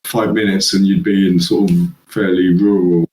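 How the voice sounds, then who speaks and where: Rambling, low-voiced man in an echoey room. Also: little pitch movement, normal speed, a little mellow. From England. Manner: rambling, laughs at own stories. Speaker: guest. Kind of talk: casual chat.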